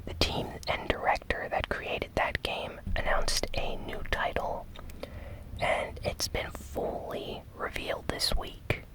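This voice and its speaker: An ASMR host recapping news entirely in a breathy, unvoiced whisper, with gentle, measured phrasing and short pauses. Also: poor audio. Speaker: host of a gaming news show.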